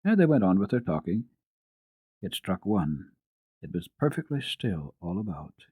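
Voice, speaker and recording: A man's voice: low voice, big pitch swings, normal speed, warm tone, slightly rough, no echo. A man reading a classic children's story dramatically, as a radio storyteller. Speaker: storyteller, radio program host.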